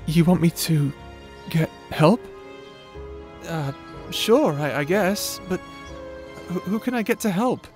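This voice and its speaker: A man's voice: high-pitched, animated, slow, long pauses, a little mellow, soft background music. A man playing a timid, lovesick young adventurer in an audio drama, stammering, hesitant and apologetic. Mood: flustered, nervous. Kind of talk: audio roleplay drama acting.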